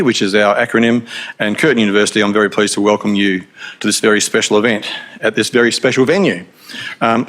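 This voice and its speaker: A middle-aged Australian man welcomes an audience at a live event, his voice sitting a little low. He talks in a relaxed, chatty way, with light jokes and the odd "um" and "uh". It sounds like an institute director running through housekeeping notes. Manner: relaxed, joking asides and frequent fillers.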